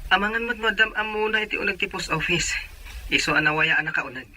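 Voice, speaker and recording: A devoted young man in a radio drama, played by an actor, with a very bright, forceful voice pitched a bit high. Noticeable ambient noise sits behind him. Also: fast, poor audio. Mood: affectionate. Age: young adult. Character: devoted young boyfriend and son working away.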